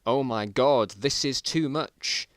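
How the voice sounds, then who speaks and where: A man's voice: mid-range voice, slow, no echo, clean studio sound, natural voice. A man voicing a panicky, cursing hero of a zombie horror story, exclaiming and overdramatic. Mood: alarmed.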